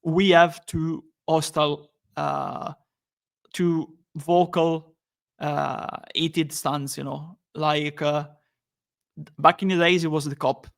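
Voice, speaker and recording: Flat-toned man hosting a podcast. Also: on the high side, gravelly. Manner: halting, many restarts, upbeat. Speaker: podcast host. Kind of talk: casual football podcast chat.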